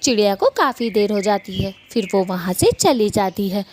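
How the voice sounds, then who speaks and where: A woman's voice: mid-range voice, lively intonation, moderate pace, darkish tone, slight background noise. A woman giving scripted narration for a children's cartoon story, as the storyteller.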